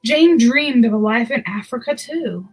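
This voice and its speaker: A woman's voice: on the high side, expressive, unhurried, slightly rough. A woman hosting a picture book read-aloud, telling the story expressively with casual asides.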